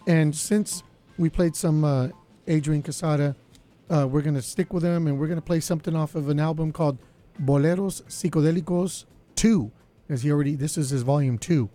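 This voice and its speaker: A man hosting a college radio show, his voice slightly raspy, chatting casually with lots of fillers. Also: a little high, fairly quick, dark tone, soft background music. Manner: laid-back, lots of fillers. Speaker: radio DJ host.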